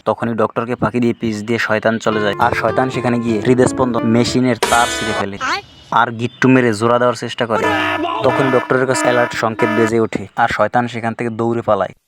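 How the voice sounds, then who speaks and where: A man's voice: medium pitch, level tone, fast, loud music behind the voice. A man with a Bangladeshi accent reads scripted comic narration for a cartoon. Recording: very noisy track with clicks.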